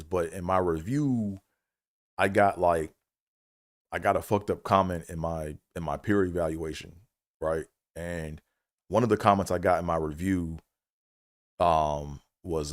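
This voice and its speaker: A man's voice: deep voice, no echo, studio quality. A middle-aged man from Maryland, a comedian and engineer hosting his own livestream, talking loosely with lots of fillers and "you know what I'm saying.". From Maryland, USA. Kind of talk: casual solo livestream monologue.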